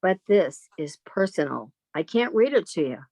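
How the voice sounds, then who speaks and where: A woman's voice: on the low side, sing-song, moderate pace, darkish tone, no echo, clean studio sound. A woman playing a sheltered, romantic country spinster of thirty-five in an old-time radio melodrama, earnest and girlish. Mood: nervous, evasive.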